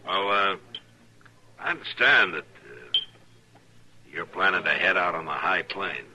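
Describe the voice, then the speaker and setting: Deep, bright-edged frontier marshal. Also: male voice, animated, slight background noise, heavily acted. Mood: firm, concerned. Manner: laconic, measured, hesitant 'uh' pauses. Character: steady, plain-spoken frontier marshal, concerned and firm. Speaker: lead actor. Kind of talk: old-time radio western acting. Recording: old-time radio broadcast recording.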